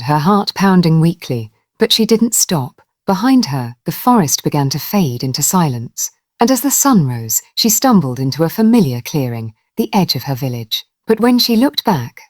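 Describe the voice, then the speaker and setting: A woman storyteller with a low voice narrates a dramatic folktale. Her delivery is expressive, rising and falling widely, and the sound is close and dry. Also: no room sound.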